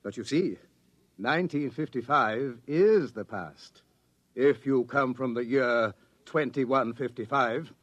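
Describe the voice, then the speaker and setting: An actor playing a government agent from the future, theatrical, mock-polite and insinuating, in 1950s radio drama style. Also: male voice, medium pitch, slow pace, fairly bright tone, no echo, highs cut off. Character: suavely menacing male government searcher from the future, toying with his quarry. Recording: vintage radio drama recording.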